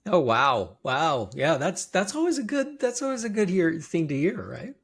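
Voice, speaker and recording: A man's voice: fairly high pitch, sing-song, moderate pace, slightly rough. A man hosting a podcast, opening with a scripted intro and then chatting in an upbeat, conversational way. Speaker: podcast host. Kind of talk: scripted show intro, then casual interview.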